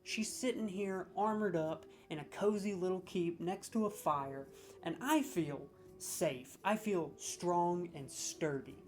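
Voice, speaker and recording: A man rants as a comedic judge, scoring video game voice lines with profanity, mock-serious verdicts and excited stammering restarts. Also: high voice, slow, soft background music. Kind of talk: comedic ranking rant.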